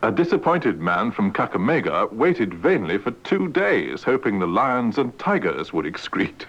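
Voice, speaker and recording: Slow, formal male newsreader. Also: on the low side, sing-song, a bit bright. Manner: measured, formal news-reading delivery. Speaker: radio newsreader. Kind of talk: reading a news item. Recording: dull, slightly noisy old radio recording.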